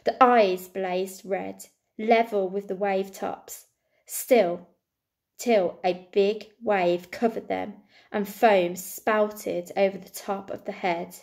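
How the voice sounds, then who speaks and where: A woman reading a storybook to children expressively, like a primary school teacher, with deliberate dramatic pauses and emphasis. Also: medium pitch, gravelly, a little mellow.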